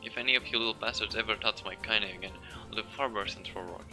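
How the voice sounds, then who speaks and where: A man voicing a fierce, foul-mouthed ancient woman in a storybook reading, gruff and scolding. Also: on the low side, moderate pace, bright, forceful tone, faint music behind, exaggerated voice. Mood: enraged.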